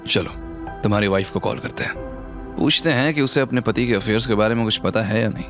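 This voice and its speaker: A man playing a cold, controlling businessman in an audio drama, measured and mocking, slipping English phrases into his speech. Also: on the low side, natural voice. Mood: menacing calm. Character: cold, sarcastic, controlling businessman with dangerous calm. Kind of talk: dramatised audio series reading. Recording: music bed under the voice.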